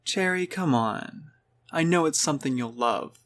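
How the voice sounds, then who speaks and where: A man voicing a gentle, encouraging young male pony, an older brother who speaks in a soft, coaxing, concerned way in a read-aloud story. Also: fairly high pitch, animated, unhurried, voice pushed up.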